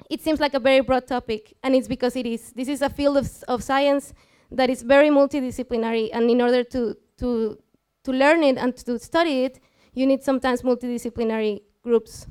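A woman's voice: high-pitched, darkish tone, clean tone. A woman in her twenties with a Mexican accent gives an explanatory science talk to an audience, informally engaging them with questions. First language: Spanish. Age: 20s. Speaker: student speaker giving a public science talk. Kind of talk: live presentation to an audience, explanatory. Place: small lecture room with seated audience. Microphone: headset.

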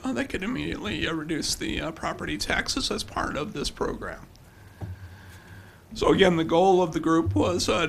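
An older man giving a formal presentation as a city official at a podium microphone, with halting, hesitant phrasing. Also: on the high side, vocal fry, fairly bright tone, a little hiss or hum. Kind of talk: formal budget presentation with slides. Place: indoor public council chamber with seated audience. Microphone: podium gooseneck microphone.

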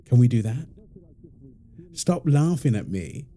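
Doting young dad, very mellow man acting. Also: mid-range voice, very animated, a little slow. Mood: playful, affectionate. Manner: affectionate, teasing, chuckling. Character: doting, playful young husband and proud father. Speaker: audio roleplay performer. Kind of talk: wholesome ASMR-style audio roleplay.

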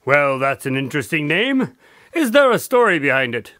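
An actor playing a doctor who tells his cases to a visitor, speaking in a measured, somber way. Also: on the high side, very animated, pushed and bright, no room sound, clean studio sound. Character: Dr. Watson's grandson, a doctor who narrates cases. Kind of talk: audio drama acting.

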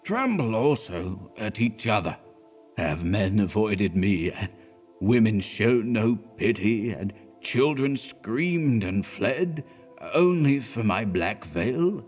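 A dying old clergyman speaks slowly and solemnly, his voice low and drained. A man reads him aloud, dropping it softer and deeper than the narration. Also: sing-song, soft background music. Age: older. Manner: faint, slow and solemn.

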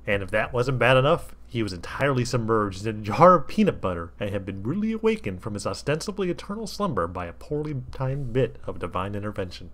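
Wry, lower-voiced man reading a story. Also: sing-song, fast, few pauses, a bit bright. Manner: wry, theatrical asides to the listener. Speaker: fan fiction reader. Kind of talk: comic storybook narration.

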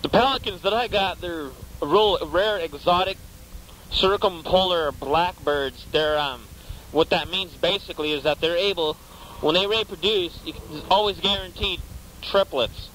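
High-voiced rambling prank caller. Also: male voice, fairly bright tone, slight background noise, poor audio. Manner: rambling, halting, fake naive, absurd. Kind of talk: prank phone call.

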